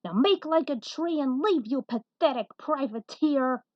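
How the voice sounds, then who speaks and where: A woman voicing a defiant, hot-headed female fighter, loud and scornful, in a story reading. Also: high-pitched, animated, measured pace, no echo, voice pushed up. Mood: angry, scornful. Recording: dull, muffled lo-fi audio.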